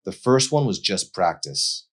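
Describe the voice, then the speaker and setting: A man's voice: sits low, big pitch swings, measured pace, a bit bright, voice pushed up, exaggerated voice. A man voicing an angry, hurt young woman in an audiobook, demanding and confrontational. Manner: indignant, confrontational.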